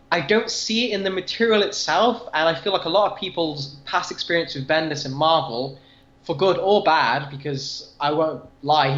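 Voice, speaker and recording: A man's voice: on the high side, a bit bright. A man chatting casually as a podcast co-host, rambling earnestly in a fan discussion. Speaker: comic book review podcast co-host. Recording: roomy, slightly dull voice recording.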